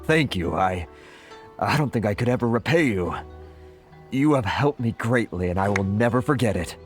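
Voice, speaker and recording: A man's voice: on the low side, expressive, moderate pace, faint music behind, natural voice. A man voicing a humble grateful merchant. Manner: earnest, hesitant, thankful. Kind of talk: video game dialogue.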